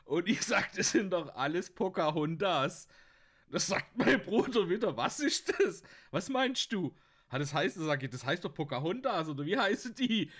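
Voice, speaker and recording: A man's voice: high voice, sing-song, normal speed, fairly bright tone. A young man telling rambling anecdotes casually, often laughing at his own stories. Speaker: podcast host.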